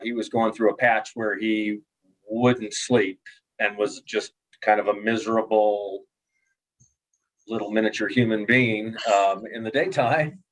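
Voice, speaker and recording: Casting: middle-aged man, low voice, doctor who is also a children's author. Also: fairly even intonation, measured pace, fairly bright tone, poor audio. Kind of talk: interview talk and storybook reading.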